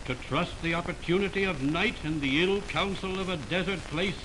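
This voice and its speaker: A man's voice: sits high, moderate pace, natural voice. An actor playing a scornful young man with stern, declamatory diction in 1920s radio Shakespeare. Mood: scornful, impatient. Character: scornful young man rejecting an unwanted admirer. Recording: noisy, hissing 1929 radio aircheck.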